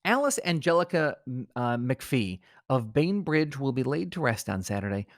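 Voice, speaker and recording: A man's voice: mid-range voice, very animated, normal speed, no room sound, clean studio sound. A man co-hosting a comedy podcast, moving between banter and mock-solemn reading.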